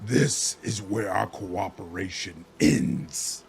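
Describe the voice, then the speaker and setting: A man voicing a menacing, ravenous insect swarm commander in a fantasy game, slow, pompous and commanding. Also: deep voice, creaky, a little mellow, a little hiss or hum, voice pushed down, heavily acted.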